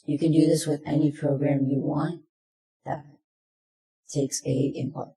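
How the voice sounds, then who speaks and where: A presenter narrating a software tutorial in plain, step-by-step instructions, recorded in an echoey room. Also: medium pitch, flat delivery, a little slow, a crowd in the background.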